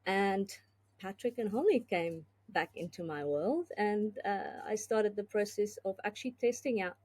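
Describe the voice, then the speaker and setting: A woman's voice: mid-range voice, normal speed, a little mellow. A woman from South Africa, with a South African accent touched by Australian, talking earnestly and reflectively as a podcast guest, with many fillers and restarts. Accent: South African with some Australian. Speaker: podcast guest, tech executive. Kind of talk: conversational interview.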